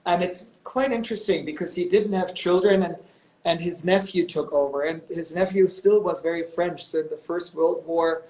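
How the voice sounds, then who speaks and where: A man's voice: high voice, moderate pace, darkish tone, low-quality recording. A man in his thirties talks over a video call with a slightly raised voice. He spins his stories in a loose, chatty way, padding them with plenty of fillers. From Germany. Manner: chatty and storytelling, lots of "you know" and "um". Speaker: guest, company executive. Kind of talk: casual interview on a live video call.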